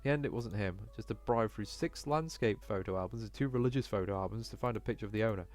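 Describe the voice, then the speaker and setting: A man's voice: on the low side, fast talker, darkish tone, faint music behind, natural voice. A man voicing an anxious, self-doubting young cat student who talks fretfully to himself in a visual novel. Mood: weary searching.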